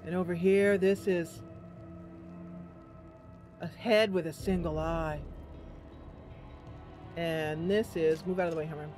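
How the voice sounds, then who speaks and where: A woman's voice: on the low side, fairly even intonation, slow, pauses often, darkish tone. A woman giving casual, chatty game commentary, thinking aloud in a playful way. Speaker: gameplay host and role-player. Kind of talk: casual game commentary with voiced role-play. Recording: game music behind. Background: game music.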